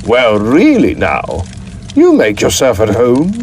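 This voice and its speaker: A man playing a haughty, sinister Victorian occultist in an audio drama, pompous and melodramatic with drawn-out words. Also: medium pitch, huge pitch swings, a little mellow, slight background noise, full character voice. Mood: smug, sneering. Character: haughty, sinister male Oxford occultist, theatrical and excitable.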